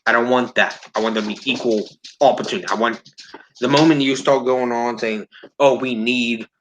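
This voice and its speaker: A man's voice: medium pitch, a bit bright, low-quality recording. A man giving blunt opinions in a casual discussion, repeating his points for emphasis. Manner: blunt, sweary, emphatic repetition.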